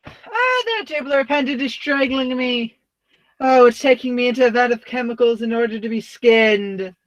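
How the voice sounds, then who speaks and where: A woman's voice: fairly high pitch, moderate pace, no echo, lo-fi sound, close to an everyday voice. A woman playing an overdramatic, talkative dying male soldier in a comic radio play, wailing melodramatically. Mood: agonised wailing.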